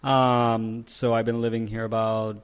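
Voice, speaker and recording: A young man from Puerto Rico whose first language is Spanish, co-hosting a therapists' podcast in a casual, halting way with frequent "um" and repeated words. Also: medium pitch, level tone, smooth. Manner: hesitant, many "um" and "uh", repeats words. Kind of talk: casual chat.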